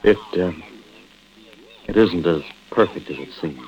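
An American actor playing an evasive, nervous husband in a 1940s-style radio drama, hesitant and placating in manner. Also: male voice, low-pitched, a little slow, darkish tone. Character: evasive, nervous husband caught cheating on his wife. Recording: muffled old radio drama recording.

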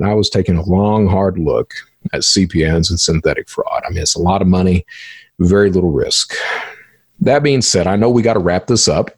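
A man's voice: deep voice, a little mellow, breathy edge, dry recording. A man hosting a podcast, asking questions in a casual, informal and earnest way. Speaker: podcast host and interviewer. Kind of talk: casual conversational interview.

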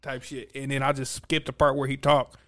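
A man in his late twenties, a stand-up comic, chatting casually and profanely, with loose, animated riffing. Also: medium pitch, brisk. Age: 20s. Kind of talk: casual podcast chat.

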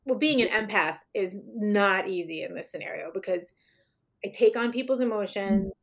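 A woman guest in a casual interview, her voice slightly raspy today, talking in a rambling way with many fillers and restarts. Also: medium pitch, normal speed. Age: adult, mother of children.

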